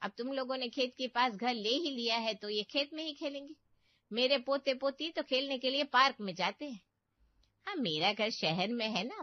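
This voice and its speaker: A woman's voice: a little high, no echo, dull audio, natural voice. A woman playing a nagging, meddling older aunt in a cartoon story, with a scolding, pitying and boastful manner. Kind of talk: dramatic Hindi cartoon story acting.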